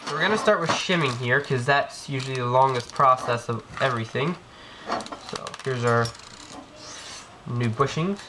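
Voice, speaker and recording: A man's voice: medium pitch, normal speed. A man with a cold giving a casual hands-on tutorial, rambling with many ums and restarts as he works. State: has a cold. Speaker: airsoft gearbox tech demonstrator. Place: indoor room, work on floor. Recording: noisy home video with clicks.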